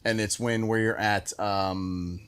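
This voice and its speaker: Male podcast host, lower register, casual storyteller, repeats himself to hammer a point, salty language. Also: steady tone, slow, fairly bright tone, clean tone. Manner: repeats phrases for emphasis, mildly profane. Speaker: show host.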